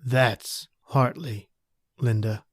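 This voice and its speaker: A man's voice: mid-range voice, fairly even intonation, extremely slow. An actor playing a folksy, sardonic tough guy in an old-time radio thriller, with slangy speech. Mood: grim. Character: John Taylor, folksy sardonic tough guy, thought a killer.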